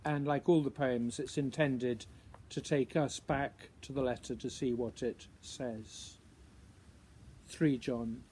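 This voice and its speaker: Guy reading a poem out loud, real slow and thoughtful, pauses a lot, bit of noise behind him. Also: mid-range voice, fairly even intonation. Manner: deliberate, pausing between short phrases, musing questions. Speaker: writer reading own poem. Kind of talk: introduced poetry reading, measured recitation.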